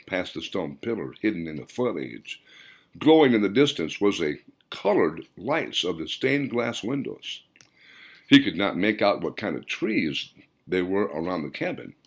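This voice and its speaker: Male audiobook narrator, fiction. Fairly deep voice, very lively pitch movement, theatrical reading. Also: gravelly, slightly rough. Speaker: author reading own audiobook.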